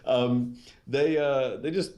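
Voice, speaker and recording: A middle-aged man, a guest on a video call from a small office, chatting in a relaxed, modest way with easy laughs. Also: medium pitch, takes it slowly. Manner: relaxed, modest, laughs easily, hesitant with fillers. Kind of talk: casual interview chat.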